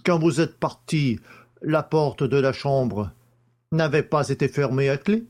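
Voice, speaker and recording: A man plays a courteous examining magistrate in an audiobook mystery. The questions come slowly and deliberately, with strong swings of pitch. The manner is formal and methodical. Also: animated, a little mellow, lightly acted. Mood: methodical, probing. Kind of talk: audiobook character acting.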